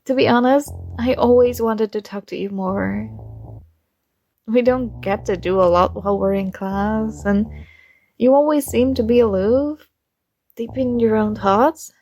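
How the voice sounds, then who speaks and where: Slow, gravelly-edged friendly young student played by a woman. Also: a little high, a little hiss or hum. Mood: shyly affectionate. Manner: gentle, unhurried, with small giggles. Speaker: ASMR roleplay performer. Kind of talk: ASMR roleplay, soft conversational acting.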